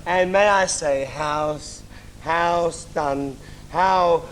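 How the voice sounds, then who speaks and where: A man playing a coy, bawdy young pantomime princess, teasing and halting in a comic stage style. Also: a little high. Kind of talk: adult pantomime stage comedy. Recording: noisy live theatre stage.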